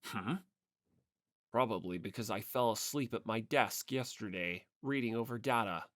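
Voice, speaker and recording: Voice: mid-range voice, normal speed, fairly bright tone, no echo, clean studio sound, pitched below the performer's own voice, close to an everyday voice. A narrator voicing a cold, arrogant rich schoolboy in a manga audiobook, pompous and disdainful. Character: haughty, cold, arrogant rich teenage boy.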